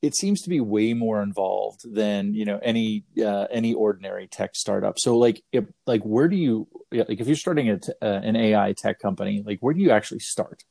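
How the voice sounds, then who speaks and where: A man's voice: lowish voice, a little fast, creaky, hardly any pauses, mellow, no echo, clean studio sound. A man hosting an interview, asking rambling, casual questions full of fillers. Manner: rambling questions with many likes. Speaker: podcast host.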